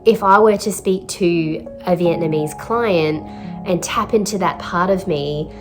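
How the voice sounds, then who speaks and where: A woman, a therapist speaking in a reflective, measured vlog voice-over with pauses and fillers. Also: on the low side. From Australia. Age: 30s. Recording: voice-over with clear music behind.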